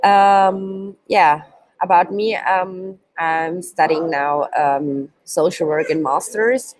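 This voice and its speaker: Low-voiced hesitant woman lecturing slowly. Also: no room sound, low-quality recording. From Switzerland, Turkish roots. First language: not English. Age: 20s. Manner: hesitant non-native English, many ums. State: sick. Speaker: youth work trainer. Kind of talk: live online course presentation.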